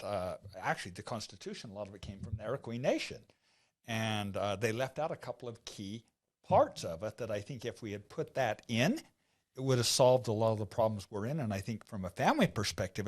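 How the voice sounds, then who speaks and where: A man hosting an interview, affirming his guest and reflecting thoughtfully on what he hears. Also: fairly low pitch. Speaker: interview host. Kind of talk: conversational interview.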